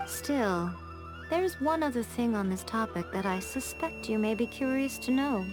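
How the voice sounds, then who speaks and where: A woman plays a refined, shrewd priestess in a video game. Her voice is fairly high, and she speaks formally, with poise and a sly, teasing knowingness, over clear background music. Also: expressive, moderate pace, natural voice. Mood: measured, explanatory. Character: elegant, shrewd shrine priestess, composed and slyly teasing. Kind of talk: video game cutscene dialogue. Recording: music under the voice.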